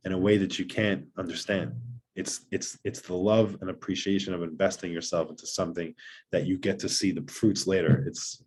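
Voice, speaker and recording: A man, a father of seven, guesting on a podcast and talking earnestly in long rushing runs of advice. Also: sits low, darkish tone, breathy edge. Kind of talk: conversational advice.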